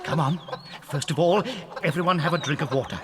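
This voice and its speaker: A man's voice: a little high, brisk, natural voice. An actor playing a cunning, exuberant father fox who leads his children with theatrical authority in a children's audio drama. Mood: brisk, commanding. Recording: noisy audio.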